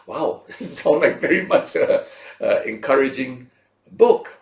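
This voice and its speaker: A man's voice: medium pitch, animated, measured pace, vocal fry, darkish tone. A man giving an informal Bible lesson as a teacher, conversational, posing rhetorical questions and checking in with his listeners. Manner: dramatizes imagined speakers' words in everyday language. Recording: roomy, echoing teaching space.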